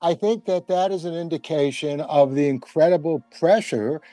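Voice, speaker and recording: Male panelist, mellow and a little high, conversational argument, dry recording. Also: normal speed, dry recording, faint music behind. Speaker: panelist in a TV show discussion.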